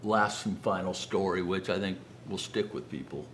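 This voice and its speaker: A man's voice: low voice, moderate pace, slight background noise. An older American man telling personal stories to an audience in a conversational way, acting out remembered dialogue. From Los Angeles area, United States. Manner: conversational, acts out quoted dialogue. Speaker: guest speaker, business founder.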